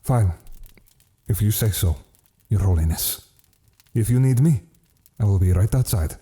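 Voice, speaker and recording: An actor playing a formal, protective, dutiful Cardinal who attends the Pope in an audio drama. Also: male voice, on the low side, expressive, unhurried, warm tone. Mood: reluctant, dutiful.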